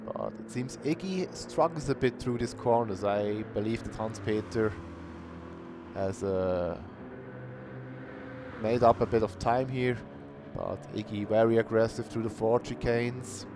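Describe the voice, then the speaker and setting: Man calling a sim race qualifier, steady and plain, over background noise. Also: mid-range voice. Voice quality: calls himself monotonous. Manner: unhurried, plain-spoken, bursts of cheering. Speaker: race commentator. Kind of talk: live sim racing commentary. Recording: noisy stream audio.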